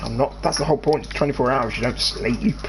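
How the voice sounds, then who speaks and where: A man's voice: medium pitch, gravelly. Rambling English teenage boy, noisy mix. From England. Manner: rambling, halting, restarts sentences. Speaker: gaming channel host. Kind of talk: casual chat over gameplay.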